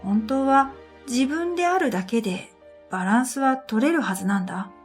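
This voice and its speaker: A woman with a fairly high voice narrates an audio drama in the first person. Her delivery is scripted, reflective and measured. Music plays behind her voice. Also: animated. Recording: background music under the voice.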